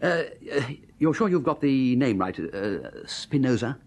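An actor playing an excitable, amiable, dim upper-class English gentleman in a radio comedy, breezy and exclamatory. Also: medium pitch, a little slow. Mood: sheepish, puzzled. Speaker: actor playing lead and narrator.

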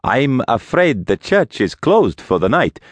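A man's voice: medium pitch, big pitch swings, a little slow, dry recording, voice pushed up. An old Italian village priest as a male narrator plays him in a thriller audiobook. He is courteous and apologetic, with a guarded, anxious edge. The recording is close and dry. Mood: polite.

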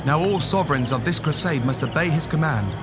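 A man's voice: on the high side, lively intonation, brisk, lightly acted. A man acting a brave, loyal English crusader knight in a children's audio drama, earnest and declamatory. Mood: resolute. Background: music.

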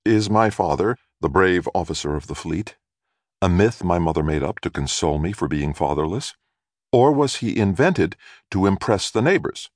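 A bright, anxious young boy's thoughts read by a grown man, earnest and questioning. The voice stays low but moves expressively, an audiobook monologue in a dry recording. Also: dry recording. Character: anxious, bright ten-year-old boy privately doubting his mother.

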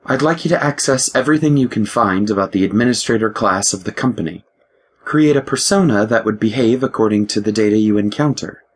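A man reading an audiobook, voicing a wary young man who talks quietly and teases with a guarded, wry tone. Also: lowish voice, fairly quick, slightly rough, voice pushed up. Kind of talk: audiobook character voice.

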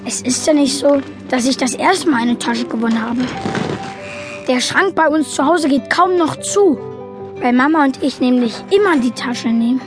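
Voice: sits high, normal speed, music in the background, natural voice. An actor playing an earnest, quirky young boy who narrates his story matter-of-factly in a children's audio drama. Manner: matter-of-fact, childlike, explanatory. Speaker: narrator and main character.

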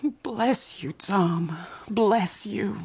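A woman's voice: mid-range voice, very slow, a little mellow, slightly rough, exaggerated voice. An actress playing a genteel, doting middle-aged mother, polite and hesitant, in a radio drama. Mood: tearful, grateful.

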